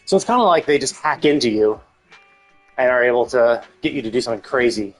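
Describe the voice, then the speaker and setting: A man talks through a story game as a streamer, in a casual, rambling way. His voice sits at a middle pitch and he works out his thoughts aloud, with faint guitar music from the game behind him. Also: animated, fast talker. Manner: mild casual swearing.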